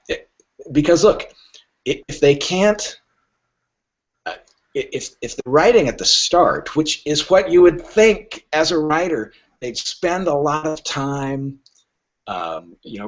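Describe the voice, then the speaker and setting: A man talking casually as a guest literary agent on a podcast, telling anecdotes in a wry, emphatic way and acting out the remarks he quotes. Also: fairly high pitch. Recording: dull, lo-fi podcast audio.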